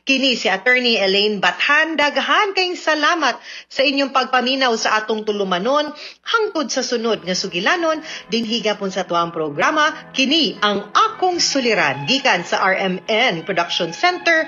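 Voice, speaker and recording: A woman's voice: on the high side, big pitch swings, moderate pace, bright, forceful tone, soft background music. A woman with a Cebuano Filipino accent giving legal advice on the radio in an explanatory, conversational way with frequent tag questions. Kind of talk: spoken legal advice to listeners, mixing in English. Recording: slightly dull radio recording.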